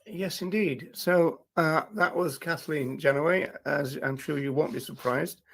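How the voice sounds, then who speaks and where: A man hosting a livestream who talks in a rambling way, full of fillers and restarts, with a croaky voice today. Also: on the high side, a little slow, fairly bright tone. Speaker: livestream host. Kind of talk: casual livestream talk.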